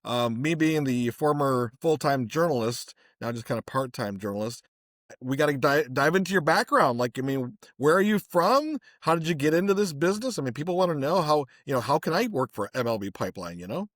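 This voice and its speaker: A man's voice: on the high side, lively intonation, fairly quick, no echo, studio quality. A middle-aged man hosting a family podcast, talking casually and rambling a bit with fillers as he introduces a guest. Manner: rambling, friendly, many fillers and restarts. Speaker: podcast host.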